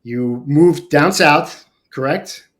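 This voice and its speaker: A man's voice: fairly high pitch, slow. A man hosting a casual interview, laid-back, laughing often and agreeing in short replies. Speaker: podcast host.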